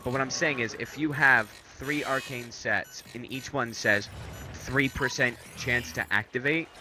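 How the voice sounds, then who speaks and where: A man's voice: mid-range voice, measured pace. A man in casual gaming chat, ribbing his co-host sarcastically and explaining game mechanics in detail. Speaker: co-host of a game discussion video.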